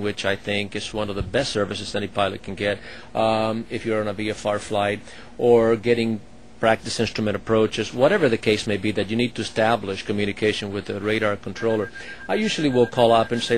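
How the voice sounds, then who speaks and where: Low-voiced man explaining air traffic control. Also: poor audio. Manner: hesitant, many fillers and restarts. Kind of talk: explaining his work to an interviewer.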